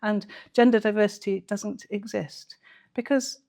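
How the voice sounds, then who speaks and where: A woman's voice: mid-range voice, steady tone, measured pace, vocal fry, a little mellow. Earnest, emphatic woman commentator. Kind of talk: conversational reaction commentary to camera.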